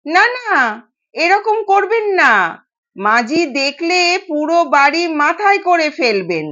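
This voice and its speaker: A woman's voice: very high voice, sing-song, takes it slowly, bright, forceful tone, highs cut off, exaggerated voice. A woman voicing a timid, deferential older male household servant in an audio story, anxious and respectful. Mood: alarmed.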